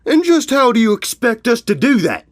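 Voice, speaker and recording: A man voicing a gruff, sarcastic male pony worker in an audio story, scornful and grumbling. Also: medium pitch, sing-song, slow, no echo, clean studio sound. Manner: barking, sarcastic.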